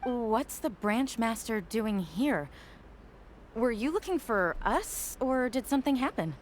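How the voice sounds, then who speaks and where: A woman's voice: on the high side, sing-song, unhurried, a little hiss or hum. A woman voicing a polite, practical, reassuring young inventor in a game.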